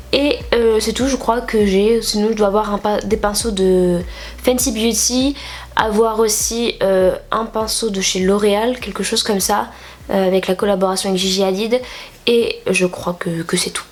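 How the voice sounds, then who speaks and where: A young woman with a cold and a nasal voice, chatting casually through a makeup tutorial with lots of fillers. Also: medium pitch. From France. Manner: chatty, rambling with many fillers. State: stuffy nose from a cold. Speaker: beauty vlogger.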